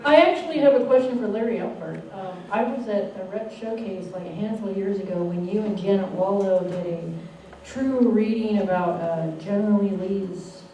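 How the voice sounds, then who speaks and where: A woman's voice: mid-range voice, normal speed, warm tone. A woman in an audience, asking a question in a casual speaking style. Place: public audience question session in a room. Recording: very echoey room, distant mic, faint noise.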